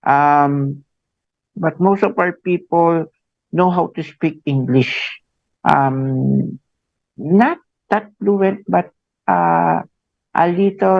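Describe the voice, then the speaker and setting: A Filipino man hosting a radio interview, talking haltingly with many fillers and a tag "no?" after his phrases. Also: on the high side, gravelly, no echo. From Philippines. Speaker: radio show host. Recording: dull lo-fi radio sound.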